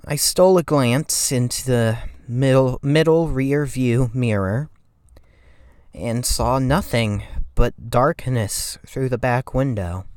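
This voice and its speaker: A timid, haunted narrator acted by a man tells a first-person horror story very slowly. The delivery is shaky and halting, catching and repeating on words. The voice is mid-pitched and recorded dry and close. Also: darkish tone, smooth, no echo, lightly acted. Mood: nervous, haunted. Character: timid, shaky, haunted narrator recalling a frightening night drive. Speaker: creepypasta reader.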